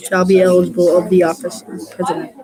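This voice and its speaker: A young woman, a student, talking casually and reading aloud haltingly during class work. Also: lowish voice, monotone. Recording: busy classroom chatter behind.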